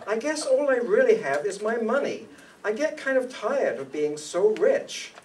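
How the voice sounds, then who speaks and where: A man's voice: high voice, very animated, moderate pace. An older man playing a lonesome, boastful, eccentric millionaire in a comic old-time radio style. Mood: wistful. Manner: plaintive, boastful. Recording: distant room microphone, clicks, faint noise.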